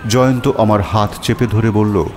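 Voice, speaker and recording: A man's voice: on the low side, steady tone, moderate pace, darkish tone, slight background noise, close to an everyday voice. A man playing a detective's companion who narrates the mystery adventure as dramatic audio storytelling. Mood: suspenseful.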